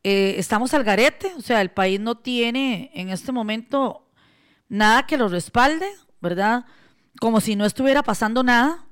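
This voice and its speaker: A woman's voice: a little high, expressive, a little slow, clear, resonant tone. A woman with a Costa Rican accent hosting a radio talk show, speaking hesitantly with many fillers. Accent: Costa Rican Spanish.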